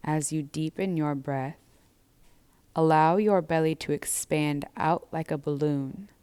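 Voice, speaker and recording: Female meditation guide: low, smooth and resonant, with gentle, measured, soothing delivery. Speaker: yoga and meditation instructor. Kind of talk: guided breathwork and body scan instruction.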